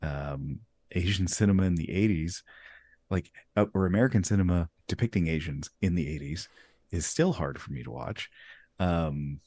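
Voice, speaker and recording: Very deep-voiced relaxed male host. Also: normal speed. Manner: relaxed, laughs easily between points. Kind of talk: casual conversational interview.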